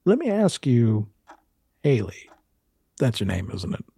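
A man playing a courteous, quietly menacing film studio boss in an audio drama, questioning slowly with insinuating hesitations. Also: medium pitch, wildly swooping pitch, slightly rough, no room sound, exaggerated voice. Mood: pressing. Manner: halting, deliberate, insinuating questioning. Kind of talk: 1920s-set audio drama roleplay.

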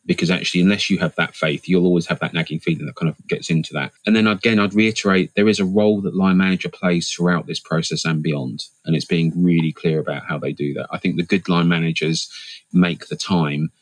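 A man answering interview questions as an HR leader and coach, thoughtful and measured with frequent fillers and restarts. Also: low voice, fairly even intonation, dry recording.

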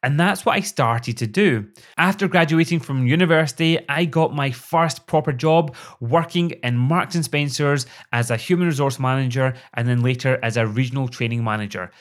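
A middle-aged man with a Scottish accent hosts his own podcast, speaking in an earnest, upbeat coaching tone. His voice sits at an ordinary middle pitch. The talk is a prepared monologue aimed straight at the listener. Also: moderate pace, few pauses. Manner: upbeat, earnest, motivational delivery. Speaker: podcast host, performance coach.

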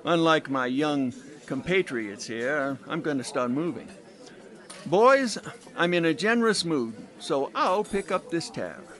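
A man's voice: fairly high pitch, animated, unhurried, fairly bright tone. An actor playing a brash, worldly veteran newspaperman of the 1920s in an audio drama, talking in colorful, wry, wordy jabs.

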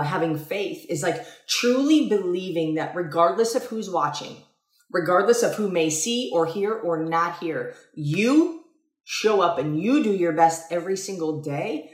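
A woman's voice: fairly low pitch, expressive, a little slow, reverberant. A woman co-hosting a casual talk, reflective and earnest, speaking in long, winding sentences.

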